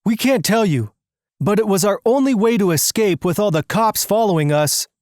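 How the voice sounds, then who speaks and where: A brusque getaway accomplice from a cartoon mystery, read by the narrator, bossy and impatient. The voice sits raised in pitch with lively movement, commanding and dismissive, recorded dry. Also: normal speed, dry recording, close to an everyday voice. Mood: matter-of-fact.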